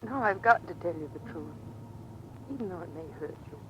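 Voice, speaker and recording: An actress plays a troubled wife of a naval skipper in an old radio drama. She speaks with earnest, halting emotion. The recording is noisy, with engine rumble behind her. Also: animated, close to an everyday voice. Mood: anguished, confessional. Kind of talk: vintage American radio drama acting.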